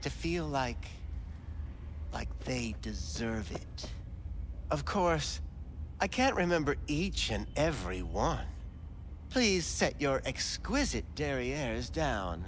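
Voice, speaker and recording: An actor playing a sleazy, lecherous back-alley implant doctor in a video game, with an oily, leering, theatrical delivery. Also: male voice, medium pitch, sing-song, takes it slowly, slight background noise. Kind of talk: video game cutscene acting.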